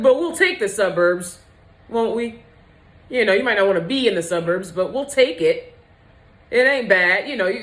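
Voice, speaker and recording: An American woman with a low-toned voice, talking candidly and colloquially to viewers in a casual opinion vlog. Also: a bit bright. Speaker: video host. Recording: roomy, echoey indoor sound.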